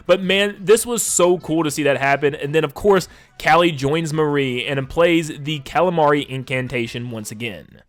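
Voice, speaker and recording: A man giving casual, enthusiastic and emphatic video essay commentary as a conversational game reviewer. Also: on the high side. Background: music under the voice.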